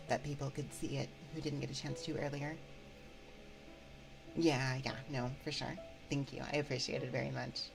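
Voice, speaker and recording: Low, creaky-voiced woman streamer, unhurried. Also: rough recording. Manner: halting, reading and replying to chat. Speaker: ASMR livestream host. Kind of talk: casual livestream chat. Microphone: binaural 3Dio microphone.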